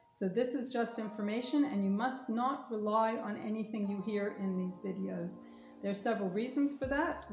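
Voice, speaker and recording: A woman lawyer talks straight to viewers at a middle pitch, earnest and careful, urging them along. Her voice rings a little in a roomy space, with faint music underneath. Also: a little mellow. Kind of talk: informational talk to viewers.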